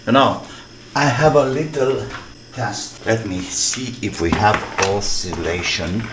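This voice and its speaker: Man, deep-pitched, unhurried, halting phrases, casual repair commentary, noisy clicky recording. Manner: halting, thinking aloud in short fragments. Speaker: electronics repair hobbyist presenting his restoration.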